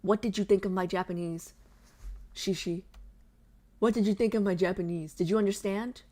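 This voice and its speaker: A woman's voice: medium pitch, fairly even intonation, moderate pace, warm tone. A young adult woman streamer chatting casually with her viewers, bubbly and full of fillers. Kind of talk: casual chat with live chat.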